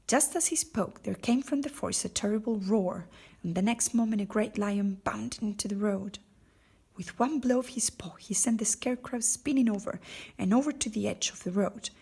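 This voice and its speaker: A woman reads a children's story expressively. Her voice is very breathy and husky, at a middling pitch. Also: darkish tone. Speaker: storybook reader. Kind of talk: expressive scripted storybook narration.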